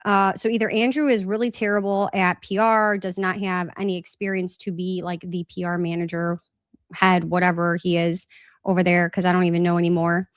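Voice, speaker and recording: A woman's voice: fairly low pitch, little pitch movement, brisk, clear, resonant tone, dry recording, studio quality. Congested woman chatting on a live stream. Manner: casual, rambling, reads viewer comments aloud. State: congested with a slight cough. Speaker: podcast host. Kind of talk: live-stream recap and chat Q&A.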